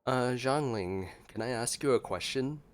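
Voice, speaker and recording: A man's voice: medium pitch, unhurried. A man giving casual gameplay reaction commentary as a streamer, with dry, joking asides. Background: faint game music.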